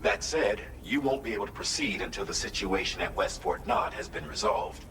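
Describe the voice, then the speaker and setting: A man playing a calm, methodical mission coordinator in a video game, giving formal, measured, precise instructions. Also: fairly low pitch, echoey room, noisy background, lightly acted. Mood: measured, businesslike. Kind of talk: scripted game mission briefing.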